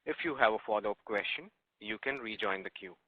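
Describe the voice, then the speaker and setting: Guy moderating a conference call, lowish voice, formal scripted, tinny phone audio. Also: brisk, husky, no room sound. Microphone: telephone conference line. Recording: dry narrowband phone line.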